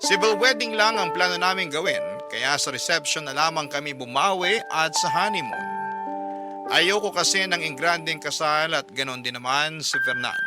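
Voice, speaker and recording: A man narrating a scripted first-person story as a radio host, reading out a letter. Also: fairly high pitch. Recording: music bed under the voice. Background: prominent background music.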